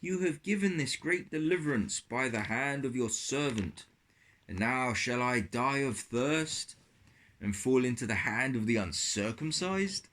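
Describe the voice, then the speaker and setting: Boastful biblical strongman acted by a man, measured and declamatory, slow delivery for a puppet tale. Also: mid-range voice, animated, a bit bright. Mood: pleading. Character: boastful strongman Samson of Bible puppet story.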